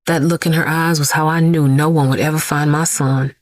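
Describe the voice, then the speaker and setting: Grieving, defiant vigilante mother played by a woman, middle-aged; low register, very dry close sound, emphatic audio-drama monologue. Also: fairly even intonation, no room sound, lightly acted. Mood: weary, sorrowful. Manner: emphatic, deliberate, repeating words for weight.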